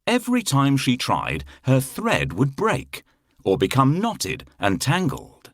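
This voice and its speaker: Very animated, slow male storyteller. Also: medium pitch, no room sound, clean studio sound. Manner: expressive, theatrical, deliberate. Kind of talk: scripted children's fairy-tale narration.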